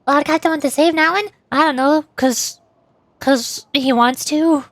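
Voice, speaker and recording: A woman's voice: high-pitched, normal speed, no echo. A woman streamer giving casual, chatty, playful commentary on a game. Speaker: streamer, VTuber.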